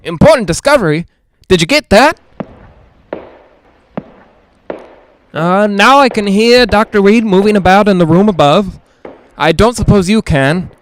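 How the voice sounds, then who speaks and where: A male actor playing a nervous, lonely broadcaster in a 1940s-style radio drama, rambling and halting as he tries to reassure himself. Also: high-pitched, expressive, slight background noise. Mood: nervous, uneasy. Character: jittery, lonely man broadcasting alone from haunted house.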